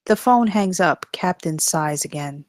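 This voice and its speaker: A woman's voice: fairly low pitch, lively intonation, unhurried, dry recording, clean studio sound. A woman reading scripted stage directions as the narrator.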